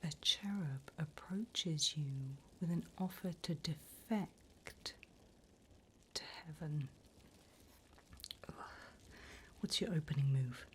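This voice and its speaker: A woman voicing a sly, sinister demon interviewer in an ASMR roleplay, in a soft breathy whisper with a teasing, menacingly polite manner. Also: low voice, takes it slowly, pauses often, slight background noise. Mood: coolly testing.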